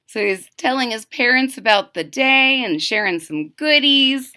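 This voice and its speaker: Female storybook reader, high-pitched and very animated, expressive, with casual explanatory asides for little kids. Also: unhurried. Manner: expressive, simple explaining for young children. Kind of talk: read-aloud of a children's picture book with casual asides.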